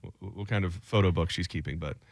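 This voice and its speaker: A man's voice: sits low, fast talker, gravelly, no room sound, studio quality. A man from Michigan hosting a radio show, telling a rambling, self-deprecating story in casual banter.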